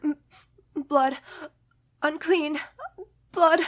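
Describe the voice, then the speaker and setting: A woman's voice: sits high, steady tone, very slow, a bit bright, full character voice. A woman acting a brave, emotional young Victorian wife in a melodramatic radio play. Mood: horrified, tearful.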